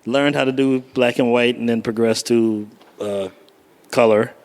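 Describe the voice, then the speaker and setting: A man's voice: medium pitch, steady tone, measured pace, a little mellow. A middle-aged man from Miami, a photographer being interviewed, telling stories casually with frequent laughter. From Miami, United States. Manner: laughing, storytelling, informal. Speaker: guest photographer.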